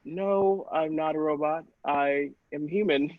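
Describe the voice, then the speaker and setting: A man's voice: fairly high pitch, measured pace, no echo, narrow, tinny audio. A man playing a nervous, star-struck gas station clerk in improvised comedy, meek, hesitant and rambling.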